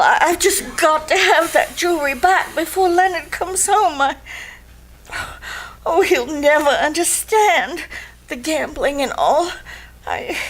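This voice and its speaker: A woman's voice: high-pitched, fairly bright tone, low-quality recording. A woman playing an anxious, evasive, well-to-do married client in a detective radio drama, flustered and hesitant. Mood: pleading.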